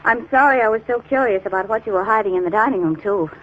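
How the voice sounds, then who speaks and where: An actress playing a teasing, inquisitive sweetheart, in light 1940s radio banter. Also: female voice, mid-range voice, fast, a bit bright, close to an everyday voice. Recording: muffled, noisy 1940s radio recording.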